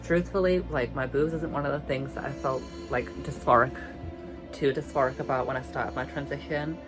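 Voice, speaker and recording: A woman's voice: steady tone, music in the background, rough recording. Chatty young British woman with a girly voice. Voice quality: light, high, girly voice. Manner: chatty, self-mocking, drawn-out emphasis. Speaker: vlogger. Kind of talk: casual vlog chat.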